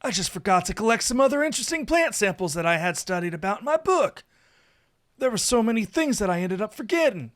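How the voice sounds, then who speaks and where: Man acting high-pitched affectionate magical wizard lover. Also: very animated, fast, fairly bright tone. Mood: pouty, indignant. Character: affectionate, playful, pouty young male magical wizard lover. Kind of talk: visual novel character reading.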